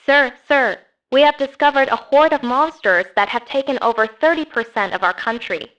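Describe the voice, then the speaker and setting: A woman's voice: high-pitched, normal speed, a bit bright, lo-fi sound. A woman playing a military subordinate who reports to a superior in an amateur horror series. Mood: urgent report. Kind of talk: amateur analog horror dialogue.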